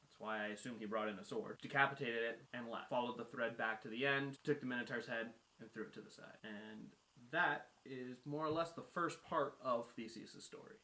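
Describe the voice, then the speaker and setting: A man's voice: mid-range voice, moderate pace, few pauses, sharp tone. A man casually retelling a myth as a guest storyteller, with colloquial phrasing and acted-out dialogue. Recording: roomy echo.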